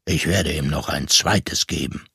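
A man voicing a calm, proud bearded craftsman with a deep, serious voice in a storybook reading. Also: unhurried, gravelly, slightly rough, no room sound, clean studio sound, heavily acted. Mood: grave, matter-of-fact.

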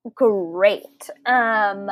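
A woman's voice: medium pitch, extremely slow, no room sound. A young adult woman co-hosting a podcast in casual chat, candid and chatty with frequent laughs. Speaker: podcast co-host, book club organizer.

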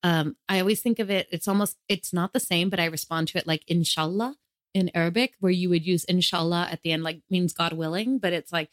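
A woman with a somewhat low voice co-hosting a podcast, relaxed, agreeable and often laughing. The recording is dry and close, with no room echo. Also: a little fast. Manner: easygoing, affirming, laughs often. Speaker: podcast co-host. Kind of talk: casual chat.

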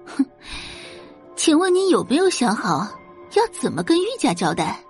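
A woman's voice: on the high side, sing-song, normal speed, soft background music. A woman voicing a defiant young daughter in an audio drama, airy and nonchalant, with a cool sarcastic edge. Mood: cool mocking.

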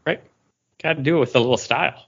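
A man's voice: medium pitch, quick pace, gravelly, a bit bright, breathy edge. A man co-hosts a podcast, trading casual banter in an ordinary mid-range voice. The recording is slightly dull and lo-fi. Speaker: podcast co-host.

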